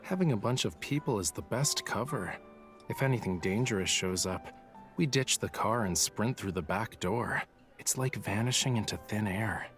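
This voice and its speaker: A man's voice: lowish voice, lively intonation, normal speed, a bit bright, faint music behind. A man voicing a languid, playful young artist in an English-dubbed romance game, with a nonchalant, coy way of talking. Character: languid, teasing young male artist with a secretive streak.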